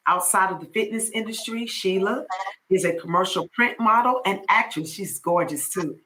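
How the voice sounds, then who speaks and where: Woman in her late fifties hosting a podcast, super bright crisp voice, gushy and playful. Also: mid-range voice, moderate pace, poor audio. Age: 50s. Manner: effusive and playful, calls the guest "girl". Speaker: podcast host. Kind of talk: reading a guest introduction, then casual chat.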